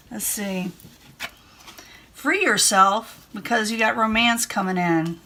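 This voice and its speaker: A woman's voice: medium pitch, noisy background. A woman doing a casual tarot reading, chatty and reassuring, who says she is losing her voice. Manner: chatty, reassuring, repeats herself. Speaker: tarot reader.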